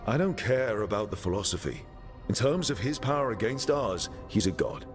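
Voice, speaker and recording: A man's voice: lowish voice, animated, normal speed, soft background music, lightly acted. An actor playing a level-headed male fantasy game hero who talks in a matter-of-fact way.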